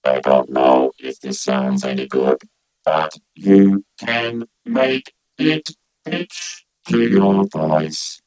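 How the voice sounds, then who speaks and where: A man's voice: extremely low pitch, extremely slow, darkish tone, clear, resonant tone, low-quality recording. A man demonstrating gear on a live stream, his voice turned into a robotic, musical tone by an analog vocoder. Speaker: host of a synthesizer live stream.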